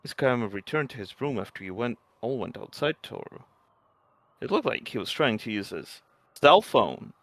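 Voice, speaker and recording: A man's voice: medium pitch, lively intonation, normal speed, a bit bright, no room sound. A man chatting casually as a co-player while a game is played.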